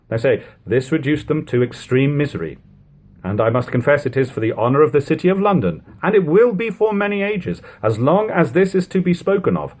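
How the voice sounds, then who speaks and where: A man with a husky voice reading a literary text aloud as an audiobook narrator, in a lo-fi home recording. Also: mid-range voice, a little mellow. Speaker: audiobook reader.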